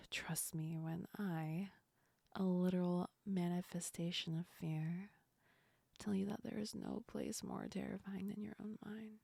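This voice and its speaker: A gentle female sleep demon acted by a woman whispers close to the mic in an intimate ASMR roleplay. Her voice is mellow and very breathy, with a creaky edge. She soothes and reassures slowly, affectionate and a little teasing. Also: fairly low pitch, steady tone, no room sound, heavily acted. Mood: soothing, reassuring. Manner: slow, soothing, reassuring, lightly amused. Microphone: close ASMR microphone.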